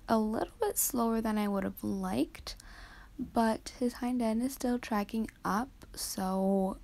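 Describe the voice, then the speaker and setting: A teenage girl with a slightly high voice and plenty of gravelly vocal fry talks quietly over her own riding footage. She explains each move in a soft, hesitant way, often pausing on um. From Canada. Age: teens. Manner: soft, hesitant with frequent ums. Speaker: vlogger.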